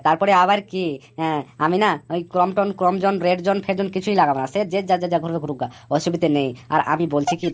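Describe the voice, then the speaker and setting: A woman's voice: lowish voice, close to an everyday voice. A woman voicing a boastful, scheming male election candidate in a comic cartoon, speechifying in a blustering, repetitive way.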